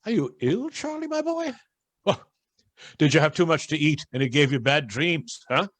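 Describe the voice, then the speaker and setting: Fussy, high-voiced older master. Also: male voice, expressive, normal speed, a bit bright, no echo. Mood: fatherly concern. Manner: flustered, repeats himself. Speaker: actor in a radio play. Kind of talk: radio drama acting.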